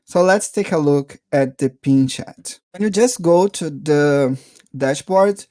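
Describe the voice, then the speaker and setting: A man narrating a casual software tutorial, explaining step by step in a halting way and checking in often. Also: fairly high pitch, no echo, clean studio sound. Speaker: software reviewer and tutorial presenter.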